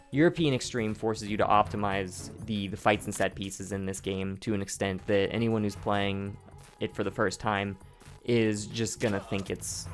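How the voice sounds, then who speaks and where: A man with a hoarse, sore throat giving casual commentary over his own speedrun, explaining each step, often restarting his sentences. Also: lowish voice, a bit bright. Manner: explanatory, rambling, with frequent restarts and profanity. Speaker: gameplay commentator.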